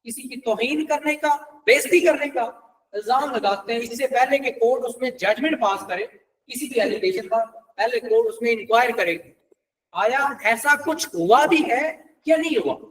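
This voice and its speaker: High-voiced Pakistani man lecturing, distant mic. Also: normal speed, fairly bright tone. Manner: conversational, repeats points with rhetorical check questions. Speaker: law lecturer. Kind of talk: classroom lecture explaining legal articles, mixing Urdu with English terms.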